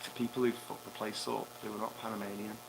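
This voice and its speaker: A man co-hosting a casual livestream chat outdoors, blunt and quick to swear. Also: fairly low pitch, little pitch movement, vocal fry, noisy background. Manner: blunt, swearing, laughing asides. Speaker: co-host, island owner. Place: outdoors on an island, sitting on cardboard.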